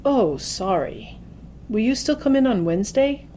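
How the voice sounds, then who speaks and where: A woman playing a brisk, unaccommodating medical receptionist in an educational dramatization. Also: fairly high pitch, expressive, dark tone. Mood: polite. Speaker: doctor's office receptionist. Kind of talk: acted phone dialogue in an educational video. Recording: faint background noise.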